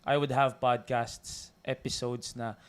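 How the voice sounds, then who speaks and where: A man's voice: mid-range voice, flat delivery, a little slow, smooth. A man in his thirties with a Filipino accent, hosting a podcast and bantering casually in a mix of English and Tagalog. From Philippines. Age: 30s. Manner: playful, banters in Taglish. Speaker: podcast host. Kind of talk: casual chat.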